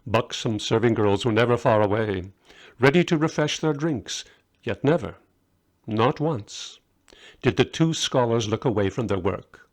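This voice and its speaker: A man's voice: mid-range voice, lively intonation, unhurried. A man reading his own short story aloud as a scripted audiobook narration.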